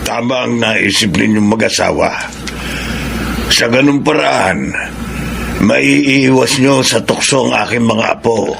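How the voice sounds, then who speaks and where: A kindly elderly grandfather in a radio drama. His voice is heavily creaky and mid-pitched, reassuring, with clear background noise. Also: slow, long pauses. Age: older. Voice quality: creaky, gravelly. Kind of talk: Filipino radio drama acting.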